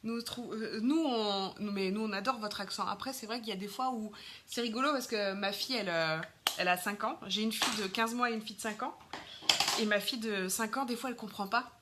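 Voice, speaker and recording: Bright-voiced chatty French woman. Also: medium pitch, brisk. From France. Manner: chatty, lots of fillers, greets viewers while doing makeup. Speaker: makeup live-stream host. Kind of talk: casual live-stream chat with viewers.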